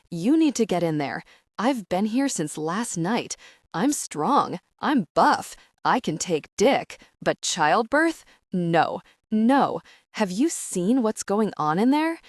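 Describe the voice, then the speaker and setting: A brash, theatrical young man who blusters and yells, as a woman puts him on. His lines come out loud and punchy, read close and dry for a storybook. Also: mid-range voice, no echo. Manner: yelling, theatrical. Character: loud, dramatic male cousin, squeamish and brash.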